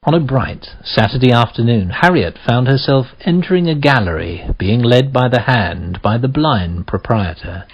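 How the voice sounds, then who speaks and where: A man narrating a radio play as a deliberate, musing storyteller, with rhetorical pauses and questions. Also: medium pitch, animated, lightly acted. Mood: musing, measured. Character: measured, musing male storyteller of a mystery tale. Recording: slightly dull audio with faint music.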